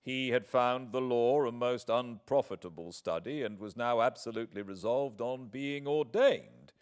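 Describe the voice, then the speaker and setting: A British man reading a classic novel as a proud, wronged gentleman, with formal, measured, deliberate phrasing. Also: medium pitch, smooth, voice pushed up, natural voice. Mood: indignant, resolute. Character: proud, wronged English gentleman writing a formal, earnest letter.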